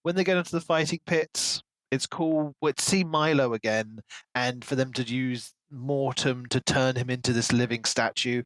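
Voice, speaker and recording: A man's voice: medium pitch, moderate pace, fairly bright tone, no room sound, low-quality recording. A man co-hosting a podcast, casually discussing a book and telling it like a story, often acting out imagined dialogue. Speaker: podcast co-host.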